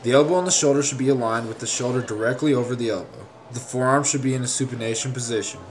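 A man reading a scripted instructional narration in a measured, formal, step-by-step way. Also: mid-range voice, a little hiss or hum, rough recording.

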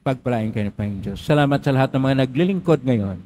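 Priest intoning Mass prayers. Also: male voice, mid-range voice, lively intonation, quick pace, warm tone, slight background noise. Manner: solemn liturgical intoning. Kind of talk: leading Mass prayers and blessing.

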